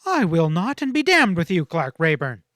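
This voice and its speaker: A man voicing a scornful, quick-tempered young frontier woman in a western audiobook, speaking in emphatic, cutting outbursts. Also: high voice, wildly swooping pitch, fairly bright tone, dry recording, studio quality, pitched above the performer's own voice, full character voice. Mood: hot anger.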